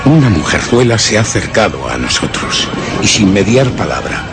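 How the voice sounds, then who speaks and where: A man's voice: lowish voice, very animated, normal speed, very noisy. A man with a Castilian Spanish accent playing a scheming, brooding murderer who narrates theatrically in a radio suspense drama. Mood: brooding menace. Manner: theatrical, literary, ominous, dropping to whispers.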